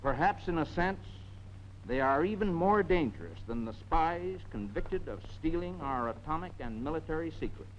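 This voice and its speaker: A man's voice: on the high side, lively intonation, moderate pace. An American man giving a formal prepared public statement in a grave, oratorical manner. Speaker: former ambassador, anti-crime committee head. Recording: dull, faintly noisy 1950s newsreel sound.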